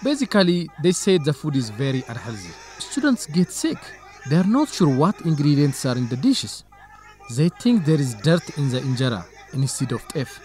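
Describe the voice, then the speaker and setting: A man's voice: fairly high pitch, big pitch swings, moderate pace, a little mellow, faint music behind. A man playing an earnest assistant to a reporter in a radio drama. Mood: earnest, thoughtful. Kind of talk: English-teaching radio drama dialogue.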